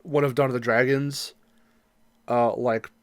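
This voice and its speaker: A man co-hosting a casual podcast discussion, talking in a halting, reflective way and hedging his opinions. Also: medium pitch, steady tone, no echo. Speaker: podcast co-host.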